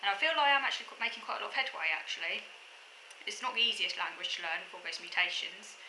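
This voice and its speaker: A woman's voice: medium pitch, fast, pushed and bright, echoey room, slight background noise, poor audio. A woman in a casual rambling monologue, recorded at home, chatting with lots of ums and restarts. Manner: chatty, many ums and restarts, self-deprecating asides. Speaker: vlogger. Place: room at home.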